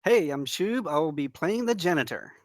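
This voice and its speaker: A man's voice: a little high, animated, moderate pace, no echo, clean studio sound. A man taking part in an online table read, speaking casually to the group. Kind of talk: casual chat.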